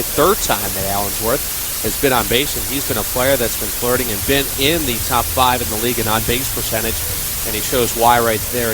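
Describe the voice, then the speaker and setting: Male color commentator, mid-range voice, explanatory flowing sentences, baseball radio, clear hiss and static. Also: medium pitch. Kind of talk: live radio sports analysis. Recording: hissy radio broadcast with static.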